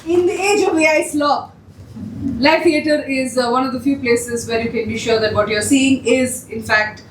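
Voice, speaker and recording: High-voiced woman emceeing a live show. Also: normal speed. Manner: chatty, joking, rallying the audience. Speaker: show host. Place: theatre stage. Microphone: distant room mic. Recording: echoey stage, distant mic, loud noise.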